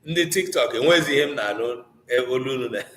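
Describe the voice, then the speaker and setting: A man's voice: medium pitch, expressive, very fast, a bit bright. Echoey Nigerian Igbo man teaching. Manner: earnest, exhorting, with rhetorical questions. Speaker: Igbo language teacher hosting a live online class. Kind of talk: informal live teaching, switching between English and Igbo. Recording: echoey room with long reverb.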